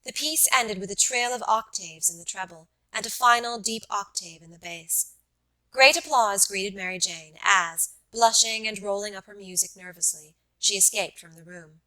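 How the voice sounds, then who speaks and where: A woman narrator reading literary fiction in a measured, carefully enunciated way. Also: medium pitch, pushed and bright, rough recording. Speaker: audiobook narrator.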